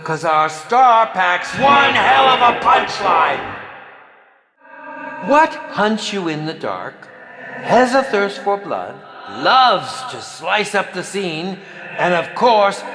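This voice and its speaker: An actor playing a theatrical, impatient and sinister film director in a video game, with a hammy, bossy, sarcastic manner. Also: male voice, high-pitched, very animated, takes it slowly, full character voice. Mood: gleeful, menacing. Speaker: game villain and announcer. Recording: echoey hall reverb with music.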